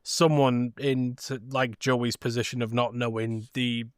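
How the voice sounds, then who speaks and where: A man's voice: mid-range voice, level tone, measured pace, clear, resonant tone, no room sound, studio quality. A man co-hosting a film podcast, chatting in an understated, informal way. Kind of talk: casual film chat.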